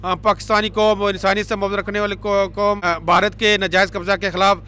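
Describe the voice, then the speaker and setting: A man's voice: sits high, flat delivery, fast, bright, forceful tone. A man in his thirties making an emphatic, rhetorical statement into a handheld mic on a street. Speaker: campaigner. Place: city street outdoors.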